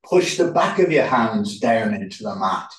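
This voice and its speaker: A man leading a yoga relaxation as instructor, speaking calmly in short, unhurried phrases with pauses. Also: on the low side. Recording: roomy echo, distant microphone.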